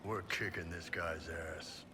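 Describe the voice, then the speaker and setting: A man's voice: deep voice, steady tone, unhurried, heavy background noise. A man playing a big, cocky, battle-loving mercenary in a video game, talking with blunt, casual swagger. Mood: confident, gruff. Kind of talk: video game character dialogue.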